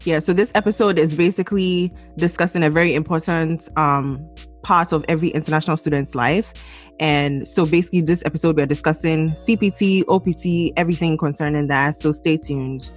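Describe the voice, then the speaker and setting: A young woman from Ghana co-hosting a podcast, talking in a relaxed, chatty way with plenty of fillers. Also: sits low, a little fast, soft background music. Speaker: podcast co-host. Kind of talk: casual chat.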